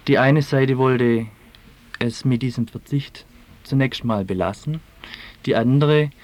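A man speaking as an environmental activist in an interview, choosing formal phrases deliberately and with pauses. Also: medium pitch, darkish tone. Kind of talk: measured interview answers.

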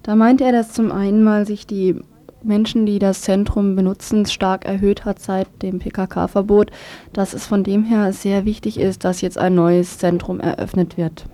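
A woman's voice: medium pitch, little pitch movement, a little slow, dark tone. A woman working as a local radio reporter, interviewing and summarising in an informal, unpolished way. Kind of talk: semi-scripted local radio news report.

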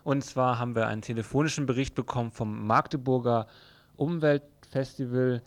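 A man presenting a radio news programme as host, in an informal, conversational and somewhat halting way. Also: medium pitch, fairly even intonation.

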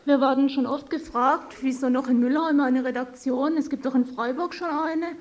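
A woman's voice: sits high, steady tone, normal speed. A woman from a community radio team presenting her project live on air. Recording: dull lo-fi radio recording.

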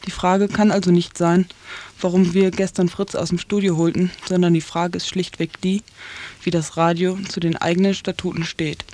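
A woman's voice: fairly low pitch, little pitch movement, normal speed, darkish tone, slight background noise. A woman reading out a prepared activist statement in a firm, accusatory manner. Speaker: activist spokesperson.

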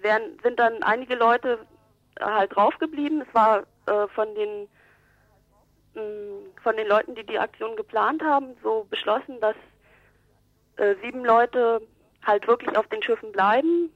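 A woman's voice: a little high, a little slow, no echo. A woman giving a spontaneous eyewitness account as an activist, hesitant and loosely phrased. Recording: dull telephone-like radio clip.

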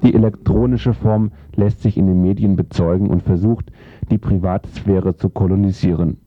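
Male narrator, low voice, formal declarative reading of scripted essay prose, lo-fi recording. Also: fairly even intonation, moderate pace. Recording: dull lo-fi sound.

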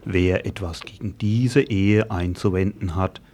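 A man's voice: low voice, steady tone, unhurried, mellow. A man voicing solemn quoted figures, a pastor and wedding witnesses, in a scripted radio commentary.

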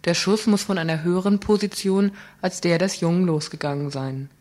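A woman's voice: fairly low pitch, fairly even intonation, a little fast, dark tone. Earnest woman reading radio news. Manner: earnest, questioning, with small slips. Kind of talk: reading a scripted news report.

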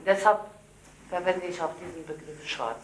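A woman's voice: fairly low pitch, moderate pace, a little hiss or hum. A woman speaking publicly in a political address at a gathering, recorded live in a room. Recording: roomy, echoing event recording.

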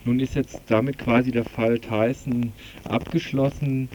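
A man's voice: mid-range voice, level tone, a little slow, darkish tone, slight background noise. A man hosting a radio programme, speaking in long sentences as he interviews and moderates. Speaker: radio host. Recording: slightly dull lo-fi radio recording.

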